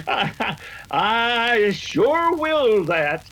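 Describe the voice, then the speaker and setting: A man's voice: extremely high pitch, very animated, drawn-out words, bright, forceful tone, heavily acted, poor audio. A man playing a flustered, bumbling old rancher father with a rustic Western accent, stammering and folksy, in an old radio drama. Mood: bewildered, delighted. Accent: rustic American West. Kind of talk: 1930s western radio acting.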